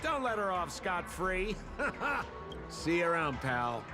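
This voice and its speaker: An actor playing a flamboyant, teasing trickster in a video game, theatrical and mocking, with a smugly cryptic, insinuating manner. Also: male voice, high voice, sing-song, slow, full character voice. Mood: sly goading. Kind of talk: video game character dialogue. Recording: background music under the voice.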